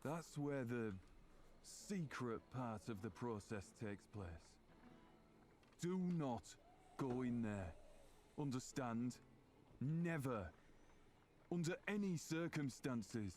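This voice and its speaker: An actor playing a stern, secretive older candle maker in a video game, speaking in emphatic, halting phrases. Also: male voice, mid-range voice, animated, a little mellow, slight background noise. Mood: stern warning. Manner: emphatic, halting delivery in short clipped phrases. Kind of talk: video game character acting.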